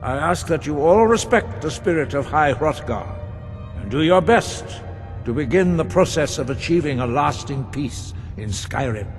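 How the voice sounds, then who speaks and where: Fantasy monk, formal, conciliatory, fairly high male voice, long reverb, soft authority. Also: animated, normal speed, faint music behind. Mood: solemn, calm. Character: solemn, diplomatic monk presiding over council. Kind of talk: dramatic fantasy game dialogue.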